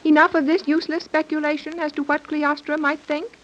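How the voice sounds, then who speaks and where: A woman's voice: high-pitched, flat delivery, a little fast, a bit bright. A woman playing a stern young submarine commander in a 1930s radio serial, formal, imperious and humorless. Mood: brisk, authoritative. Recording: dull lo-fi 1930s radio recording.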